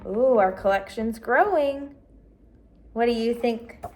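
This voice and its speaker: Expressive woman reading a picture book, higher-pitched. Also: takes it slowly, a little hiss or hum. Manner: expressive, asks listeners questions. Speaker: read-aloud storyteller. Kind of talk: children's picture book read-aloud.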